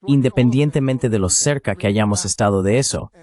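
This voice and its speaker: A man's voice: mid-range voice, fairly quick, warm tone, lightly acted. A man giving a translated voice-over of an interview guest's political analysis.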